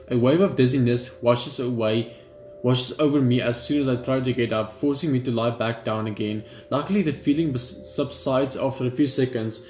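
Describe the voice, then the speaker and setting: A man's voice: medium pitch, a little mellow. A man playing a game on video, commenting and reading the story text aloud, tripping over words now and then. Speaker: gaming video host. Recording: roomy echo, faint game music.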